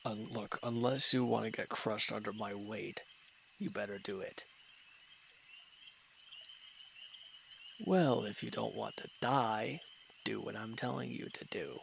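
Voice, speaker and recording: Male actor as injured gruff villain, low-pitched, irritable, grumbling, grudgingly caring, audio roleplay, faint background noise. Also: fairly even intonation, normal speed, a bit bright. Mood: grudging concern. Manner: grumbling, curt, exasperated, sighing delivery. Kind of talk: scripted audio roleplay acting.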